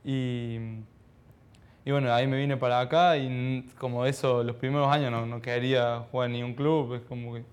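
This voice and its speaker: A young Argentine man of around twenty answers interview questions in a smooth, clean voice. His intonation stays fairly flat, and he speaks modestly and haltingly, like a young athlete unused to talking about himself. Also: mid-range voice. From Argentina, childhood in Ecuador. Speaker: professional footballer being interviewed.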